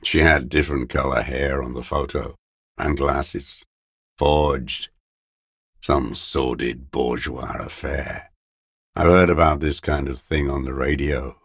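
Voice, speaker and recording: An actor playing a bitter, paranoid old communist deserter in a video game, with a weary, gravelly voice and a halting, brooding, contemptuous mutter. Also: male voice, a bass voice, steady tone, no echo, studio quality, heavily acted. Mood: brooding, furtive. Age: older. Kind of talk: video game character voice acting.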